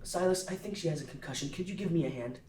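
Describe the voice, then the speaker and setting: A man's voice: fairly high pitch, fast talker, darkish tone, reverberant, recorded from a distance, a little hiss or hum, lightly acted. A man voicing a worried, caring young friend at a table read, urgent and concerned.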